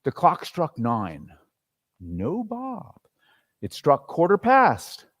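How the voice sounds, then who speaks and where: A man narrating a classic story as a theatrical, animated dramatic reading. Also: fairly high pitch, drawn-out words. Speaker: story reader and performer.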